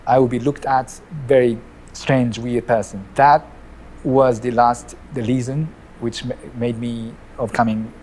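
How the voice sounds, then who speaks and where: A middle-aged man from Japan with a Japanese accent, an imam telling his life story in an interview, measured and often restarting his phrases. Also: medium pitch, steady tone, darkish tone, slight background noise, poor audio.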